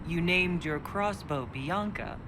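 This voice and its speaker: Female fantasy adventurer, direct and inquisitive; game dialogue over clear background noise. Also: fairly low pitch, takes it slowly. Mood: questioning. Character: direct, questioning female dwarf adventurer held prisoner. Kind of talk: dramatic video game acting.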